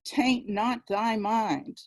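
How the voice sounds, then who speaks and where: A woman's voice: a little high, extremely slow, darkish tone. A woman speaking emphatically in an informal literary discussion on a video call.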